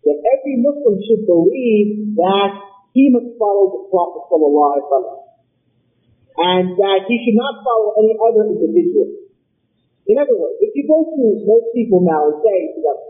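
Guy lecturing on religion, kinda high voice, explains slow n steady, sounds like a phone recording. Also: phone-quality sound. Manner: measured, explanatory, rhetorical questions. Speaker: Islamic lecturer.

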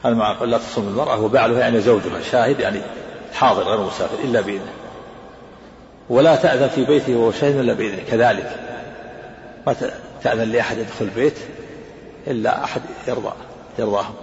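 Fast gravelly male lecturer in a hall. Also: medium pitch, a little hiss or hum. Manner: rapid, explanatory, repeats points. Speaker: scholar teaching hadith. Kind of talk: lecture explaining texts. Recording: echoey hall, dull lo-fi sound.

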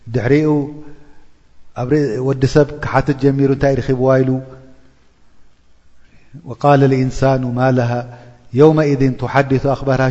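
A man's voice: mid-range voice, dark tone. A man giving a religious lesson, intoning verses and explaining them, often checking in with rhetorical questions to his listeners. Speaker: Islamic preacher explaining a Quran chapter. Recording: dull lo-fi recording with long reverb.

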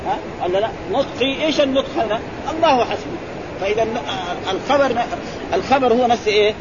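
A man's voice: extremely high pitch, fast talker. A man teaching grammar in a classroom, explaining points and quizzing his students with constant prompts. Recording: lo-fi with crowd and street noise.

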